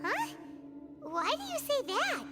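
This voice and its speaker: Very high, squeaky childlike fairy companion. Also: female voice, slow pace, exaggerated voice. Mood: puzzled. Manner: inquisitive, expressive. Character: tiny childlike fairy companion, curious and chirpy. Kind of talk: video game character acting. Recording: music behind the voice.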